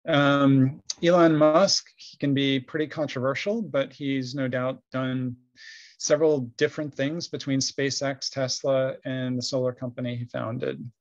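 A man's voice: mid-range voice, little pitch movement, unhurried, dry recording, dull audio. A man presenting in a webinar, hesitant, with many ums and uhs, on a video call. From grew up overseas. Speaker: webinar guest speaker. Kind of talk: casual presentation.

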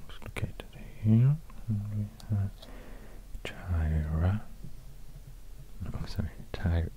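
Very deep, whispering Swedish-accented man. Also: muffled recording. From Sweden. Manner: hesitant, unhurried, with pauses mid-sentence. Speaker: ASMR video creator. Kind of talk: soft-spoken whispered ASMR. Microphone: close binaural microphone. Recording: close binaural recording with clear background noise.

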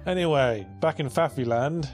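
Deadpan young man. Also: a little high, normal speed. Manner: dry, deadpan jokes. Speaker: let's play co-commentator. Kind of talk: casual gaming banter. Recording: faint game music behind.

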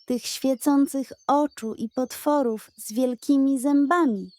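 A woman voicing a lively, knowing female goat who explains things eagerly and teases, in a children's audio story. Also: high voice, a little slow, dry recording, voice pushed up. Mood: teasing.

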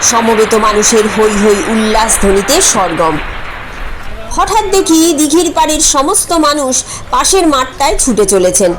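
Woman storyteller, very high voice, scripted comic story narration.

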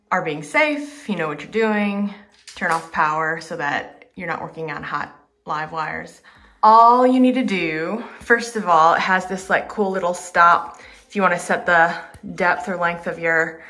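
A woman casually showing how to use a tool indoors while filming with one hand on a handheld camera. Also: on the low side. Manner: relaxed, unhurried, instructive. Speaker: vlogger demonstrating a tool. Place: indoors in a room under renovation.

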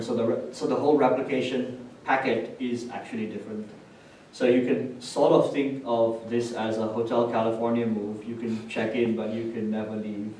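A man's voice: mid-range voice, warm tone. A man giving a technical conference presentation in an explanatory manner, often checking his points with a short tag question. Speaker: conference speaker. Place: indoor hotel conference room with projector screen. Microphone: distant camera microphone. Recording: echoey room, distant mic, faint noise.

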